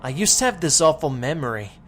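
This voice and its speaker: Man acting as an uneasy reminiscer, lightly high-voiced, over music. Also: sing-song, fast talker. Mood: haunted. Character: uneasy man recalling a creepy childhood puppet show. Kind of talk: dramatic reading of online forum posts.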